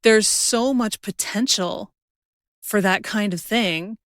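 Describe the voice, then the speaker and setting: A woman's voice: mid-range voice, slow, no room sound, studio quality. A woman hosting a podcast in casual conversation, informal and chatty as she thinks aloud. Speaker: podcast host.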